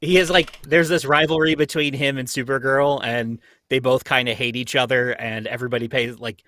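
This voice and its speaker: A young man with a bright, forward voice and a middling pitch, recorded dry and close. He chats casually and retells things in an amused, informal way. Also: level tone, brisk, no room sound. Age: young adult. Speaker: panelist on a livestream. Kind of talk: casual chat about cartoons.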